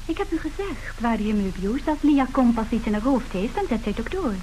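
A woman's voice: fairly high pitch, very animated, fairly quick, warm tone, soft background music, poor audio. An actress playing a bold, businesslike young woman from a wealthy family, pert and self-assured, in a radio play. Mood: pert, insistent. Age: young adult. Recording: hissy old radio drama recording.

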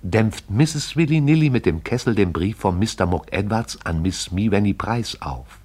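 A deep male storyteller for a radio play. He narrates in a measured, poetic way, lingering over lyrical description. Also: animated, darkish tone, slightly rough.

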